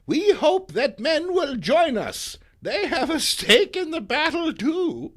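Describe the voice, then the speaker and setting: A man's voice: very high voice, pitched above the performer's own voice, exaggerated voice. A man voicing a feisty, indignant old woman crusader in a theatrical, emphatic comic book reading. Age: elderly.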